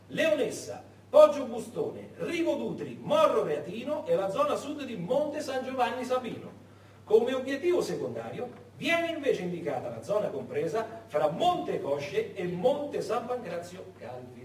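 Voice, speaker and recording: A man telling history as a dramatic stage monologue, emphatic, with pauses and stretched words. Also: high voice. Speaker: stage actor and narrator. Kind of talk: theatrical historical storytelling, monologue. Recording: echoey stage, distant, faint noise.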